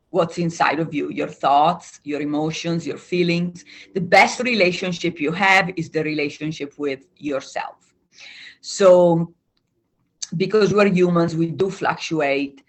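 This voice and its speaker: A woman from Italy with an Italian accent, a coach calmly explaining ideas as a guest over a video call. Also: lowish voice. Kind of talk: explanatory interview talk.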